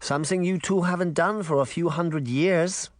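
A man voicing a kindly old grandmother in an audiobook, speaking gently with a light German accent. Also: fairly high pitch, moderate pace, no echo, voice pushed up, lightly acted. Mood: teasing. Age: older. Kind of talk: audiobook character voice.

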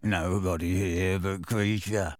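A wheezy, cackling old house elf. The voice sits extremely low and gravelly, slow and sly, and it sounds pulled back from the mic in a dry space. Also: male voice, steady tone, dry recording. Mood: sly gloating. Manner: sly, muttering.

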